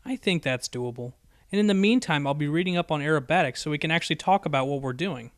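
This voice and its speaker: A man's voice: fairly high pitch, expressive, quick pace, dry recording, clean studio sound, lightly acted. A man voicing a bookish young female unicorn pony in an audiobook, with a precise, lecturing and easily exasperated manner. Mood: calm, friendly. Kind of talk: acted audiobook dialogue.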